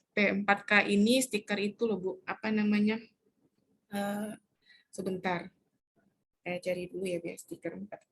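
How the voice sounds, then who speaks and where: A woman explaining step by step how to fill in a community household form, pausing often to search for words. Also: medium pitch, a bit bright. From Indonesia. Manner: hesitant, searches for words with many fillers. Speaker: community trainer.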